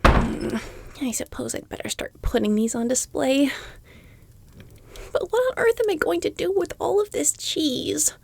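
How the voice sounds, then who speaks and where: A woman's voice: high-pitched, sing-song, a little slow, creaky, darkish tone, slight background noise, exaggerated voice. A woman playing a weary, exasperated shopkeeper in a fantasy audio roleplay, reluctantly polite, with dry, muttered sardonic asides. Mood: disgusted grumbling.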